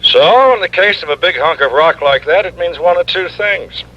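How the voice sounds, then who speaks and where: An actor with an American accent playing an exasperated, grumbling New York police lieutenant in an old-time radio drama. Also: male voice, on the high side, normal speed, natural voice. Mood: frustrated, emphatic. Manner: blustery, grumbling, emphatic. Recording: dull lo-fi vintage radio recording.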